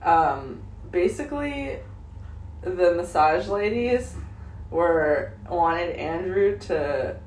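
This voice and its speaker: A young adult woman chatting casually to camera in a rambling, halting way, often trailing off. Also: fairly low pitch, animated, a little mellow. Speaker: vlogger. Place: various spots on the go in Bali. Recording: noisy ambient phone-style vlog recording.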